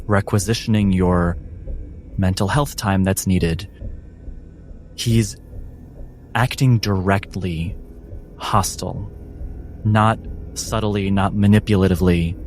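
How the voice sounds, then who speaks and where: A man acting as game master, narrating a tabletop roleplaying game dramatically in deliberate, measured phrases. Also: fairly low pitch.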